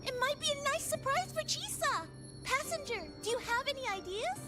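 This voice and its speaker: A woman's voice: extremely high pitch, lively intonation, moderate pace. A woman voicing a small, cheerful helper creature in a video game, polite and eager to serve. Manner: polite, chirpy, servile. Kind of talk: game character acting. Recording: background music over the voice.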